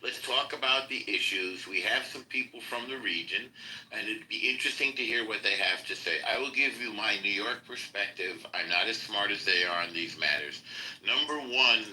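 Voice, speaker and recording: Measured man with a bright, deep voice. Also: slight background noise, poor audio. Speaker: host. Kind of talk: moderating an online discussion.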